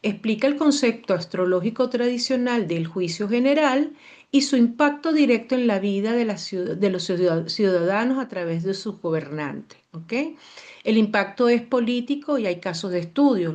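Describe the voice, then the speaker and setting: A Venezuelan woman, an astrologer, explaining in an animated, digressive way and repeating words for emphasis. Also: mid-range voice, normal speed, highs cut off. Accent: Venezuelan Spanish. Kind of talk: casual explanatory talk.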